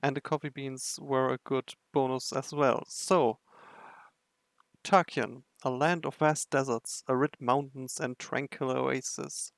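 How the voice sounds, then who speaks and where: A man's voice: mid-range voice, fairly even intonation, slow pace, creaky, no echo. A man giving casual playthrough commentary on a game, speaking in a hesitant way as he thinks aloud. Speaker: game video narrator and player.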